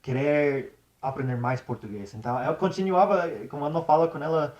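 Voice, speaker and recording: A man's voice: on the high side, fairly quick, darkish tone, dull audio. A young American man whose first language is English, chatting in an interview, hesitant, with many restarts and slips into English. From United States. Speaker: interview guest. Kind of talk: casual interview chat.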